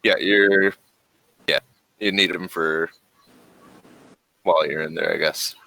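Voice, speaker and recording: A man running a tabletop role-playing session as game master, talking in a relaxed, halting way with many fillers. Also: rumbling low, vocal fry, poor audio.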